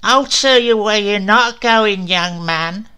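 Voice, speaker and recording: A man's voice: high-pitched, slow, pushed and bright, clean tone, voice pushed up, full character voice. A man voicing a cackling, spitting old crone who seems to step out of an ancient fairy tale. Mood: gloating. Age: older.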